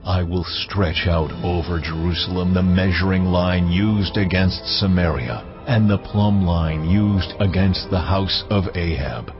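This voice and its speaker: A man playing a stern, wrathful prophet declaring divine judgment slowly and solemnly in a dramatised scripture reading. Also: deep voice. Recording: slightly dull audio under loud music.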